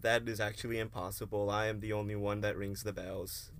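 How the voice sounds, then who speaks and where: A man playing a quiet, self-important philosophy student in an amateur radio play, speaking stiffly and formally. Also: lowish voice, flat delivery, fairly quick, natural voice. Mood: baffled, insistent. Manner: stilted, formal speech.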